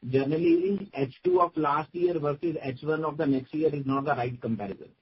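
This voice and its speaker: A man with an Indian accent giving matter-of-fact, halting answers as a finance executive on an earnings call. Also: fairly high pitch, husky. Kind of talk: spoken answers on a business conference call. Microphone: conference call line. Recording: dull, lo-fi conference call audio.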